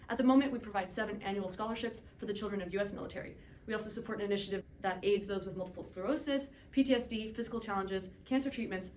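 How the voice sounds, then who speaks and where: Very fast-talking formal woman panelist. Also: mid-range voice, slight background noise, rough recording. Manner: formal, businesslike. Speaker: debate panelist playing an executive. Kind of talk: scripted formal argument.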